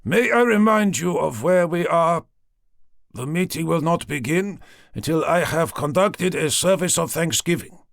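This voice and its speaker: A man's voice: fairly high pitch, expressive, unhurried, vocal fry, dry recording, clean studio sound, pitched above the performer's own voice. A man voicing a stern Argentinian bishop with an Argentinian accent, reproving in tone.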